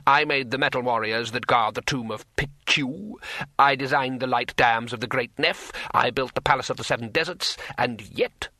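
A man's voice: medium pitch, moderate pace, bright, forceful tone, no room sound. A man voicing a proud, blunt, stoic master craftsman in an audiobook, speaking in an emphatic, declamatory way. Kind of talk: audiobook character voice.

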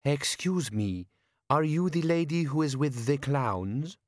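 A man acts out a friendly young woman from a storybook. She speaks gently and carefully, polite and kind, in a dry, close recording. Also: a little high, animated, takes it slowly, no room sound, pitched above the performer's own voice.

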